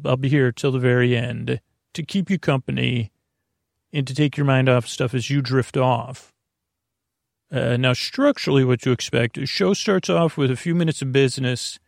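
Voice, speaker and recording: A man's voice: medium pitch, fairly even intonation, normal speed, vocal fry, no room sound, studio quality. A man hosting a sleep podcast, rambling in a casual, easygoing way and often stumbling over his words. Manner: easygoing, companionable.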